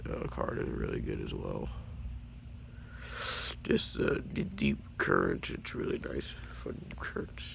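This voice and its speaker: A teenage boy with a raspy, deeply gravelly voice, worn down by a few days of being sick. He rambles casually and apologetically through his card collection, tripping over names as he goes. The home recording is low quality, with a faint hiss underneath. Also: a bit bright, rough recording.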